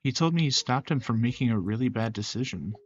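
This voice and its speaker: A man voicing an excitable younger woman in a visual novel, with an eager, lilting manner. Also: mid-range voice, quick pace, dull audio, soft background music, close to an everyday voice. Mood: grateful.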